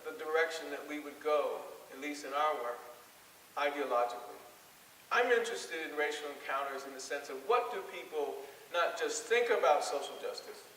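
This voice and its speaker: A man giving a public lecture as an academic speaker, in a measured, rhetorical way that repeats phrases for emphasis. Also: fairly high pitch, fairly bright tone. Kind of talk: public lecture with questions to the audience. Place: large indoor auditorium with aisles. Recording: echoey hall from far off, faint hiss.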